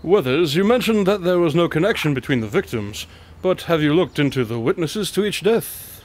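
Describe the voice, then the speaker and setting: A man's voice: a little high, expressive, normal speed, slight background noise, lightly acted. A man playing a composed, inquisitive detective in an audio drama, questioning in a dry, slightly formal, courteous way. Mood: musing, probing.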